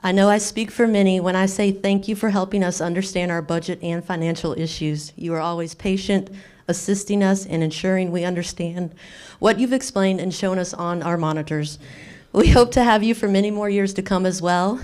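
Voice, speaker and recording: Female HR staffer, smooth and clear, reads a prepared tribute. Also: lowish voice, fairly even intonation, fairly quick, a little mellow. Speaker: human resources staff. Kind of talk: prepared appreciation speech. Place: county meeting hall.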